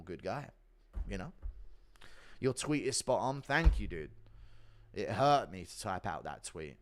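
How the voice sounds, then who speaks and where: A man hosting a casual livestream, reading donations and answering chat in a blunt, profane, offhand way. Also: on the low side. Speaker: livestream host.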